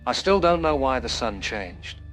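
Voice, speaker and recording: Male medical expert played by an actor: medium pitch, cautious, sober, measured interview answers over music. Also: big pitch swings, fairly bright tone, rough recording. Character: cautious, sober male doctor advising the public. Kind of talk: scripted news interview acting.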